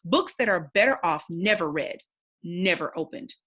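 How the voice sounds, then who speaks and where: A woman reads as an elderly, bookish mentor in a storybook. He is wise and wary, speaking in grave, musing phrases. The voice is breathy and husky. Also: fairly low pitch, lively intonation, normal speed, a bit bright.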